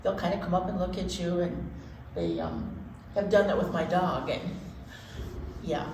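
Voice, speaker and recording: Animated woman reading to children, echoey room. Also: on the low side, normal speed. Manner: folksy, informal asides with repetition for emphasis. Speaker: children's storytime presenter. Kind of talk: read-aloud with chatty explanations for young children. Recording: echoey room with background noise.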